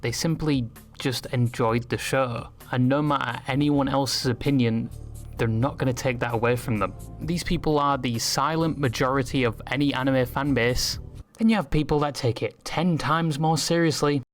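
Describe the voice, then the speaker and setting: Guy reading a scripted satirical rant, normal pitch, snarky and over the top, music playing under it. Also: normal speed. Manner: sarcastic, crude, hyperbolic. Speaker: video essay narrator. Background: background music.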